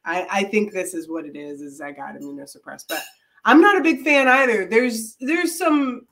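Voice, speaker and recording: A woman's voice: medium pitch, moderate pace, fairly bright tone. A woman co-hosting a running podcast, talking casually with many fillers and easy laughs, her voice thick from a head cold. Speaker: podcast co-host. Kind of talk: casual chat.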